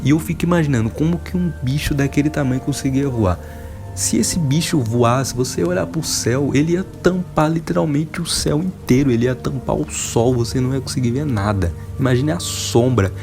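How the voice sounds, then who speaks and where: A man's voice: mid-range voice, brisk, vocal fry, music in the background. A Brazilian man hosting a casual enthusiast video, talking in an emphatic, informal, wonderstruck way. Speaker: video host.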